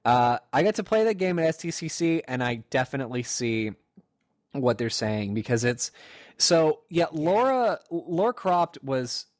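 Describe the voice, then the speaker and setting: A young man co-hosting a casual podcast chat, animated and telling stories with acted-out quotes. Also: medium pitch, unhurried, dry recording, clean studio sound. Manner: animated storyteller, acts out quotes. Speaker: podcast co-host.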